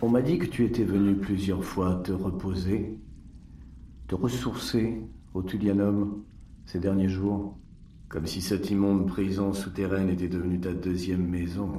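An actor playing a cold, menacing Roman leader in a French audio drama, speaking imperiously as if interrogating. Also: male voice, low-pitched, steady tone, measured pace, dark tone, reverberant, far from the mic, slight background noise. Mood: cold, accusing.

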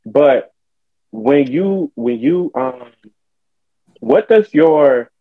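A man's voice: medium pitch, animated, very slow, no echo. A young man in casual podcast chat, pressing with probing questions. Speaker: podcast co-host. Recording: tinny phone-like podcast audio, dry.

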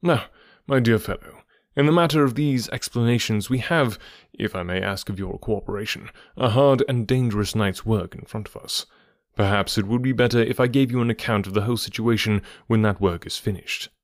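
A man voicing a calm, urbane Victorian gentleman detective with a nonchalant manner, in an audiobook story. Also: on the low side, brisk, dry recording, clean studio sound, lightly acted. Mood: composed, matter-of-fact. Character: tall, keen, composed Victorian gentleman detective.

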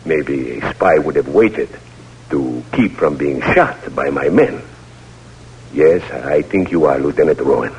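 An actor playing a grave, courteous Cuban general in an old radio drama, speaking measured, formal English with a Cuban Spanish accent. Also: male voice, extremely low pitch, animated, darkish tone, a little hiss or hum, exaggerated voice. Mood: suspicious, measured. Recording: muffled vintage radio recording.

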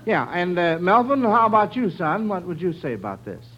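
A man's voice: sits high, very animated, fairly quick, slight background noise. An American man hosting a mid-century radio quiz in an encouraging, avuncular manner. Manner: encouraging, avuncular, addresses children kindly. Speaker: quiz show host. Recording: dull vintage radio broadcast recording.

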